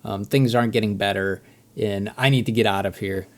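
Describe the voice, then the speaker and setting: A man's voice: lowish voice, fairly even intonation, brisk. A man who is a native English speaker, giving casual, reflective commentary as the host of a music reaction video.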